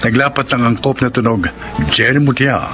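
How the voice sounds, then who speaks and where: A male announcer giving an uplifting closing message and reading the credits in a measured, scripted way. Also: medium pitch, fairly even intonation. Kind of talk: scripted radio narration. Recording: music playing under voice. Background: music.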